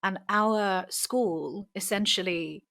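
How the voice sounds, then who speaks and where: A woman from England hosting a podcast interview, chatty and quick to laugh as she asks questions and shares her own story. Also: mid-range voice, vocal fry, fairly bright tone. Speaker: podcast host.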